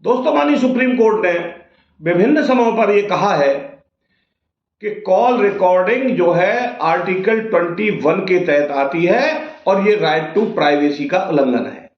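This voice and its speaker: A man's voice: high voice, animated, unhurried. A man giving an informal legal explainer to viewers in a didactic way, posing questions and answering them himself. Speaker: advocate explaining law to viewers. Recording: dull, roomy, echoing sound.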